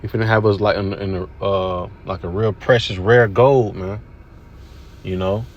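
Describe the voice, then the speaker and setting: A man's voice: lowish voice, fairly even intonation, normal speed, dull audio. A man giving casual religious commentary over video clips, laid-back and repetitive, with many fillers. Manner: laid-back, repetitive with "you know what I'm saying".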